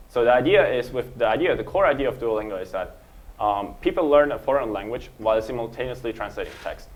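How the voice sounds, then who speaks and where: A man, giving a relaxed conference talk full of ums and uhs. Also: mid-range voice, low-quality recording. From Switzerland. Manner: relaxed, self-deprecating, frequent um and uh. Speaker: panel speaker.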